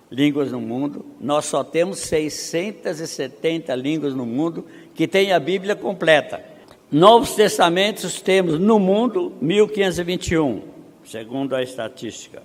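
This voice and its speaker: A man from Brazil speaking at a missionary conference, reading statistics slowly and building to emphatic rhetorical questions. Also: on the high side, lively intonation. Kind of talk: conference preaching. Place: seminary auditorium. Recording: long hall reverb.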